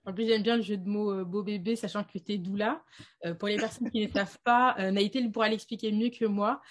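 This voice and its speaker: A woman's voice: medium pitch, fairly even intonation, brisk. Woman host, casual, lots of euh, a bit hesitant. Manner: hesitant, frequent fillers. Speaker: interview host. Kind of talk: casual interview.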